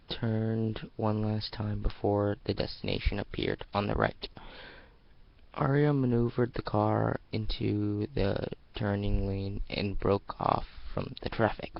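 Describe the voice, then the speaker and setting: A man giving an amateur dramatic reading of a story, narrating in a halting, deliberate way. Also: fairly low pitch, vocal fry, lo-fi sound. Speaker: amateur reader.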